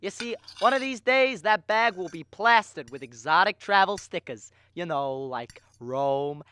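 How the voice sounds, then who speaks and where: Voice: medium pitch, lively intonation, measured pace, bright, forceful tone, slight background noise. A student performer playing an eager, boyish young man who dreams of travel, in a radio drama. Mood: dreamy, eager. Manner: enthusiastic, boyish.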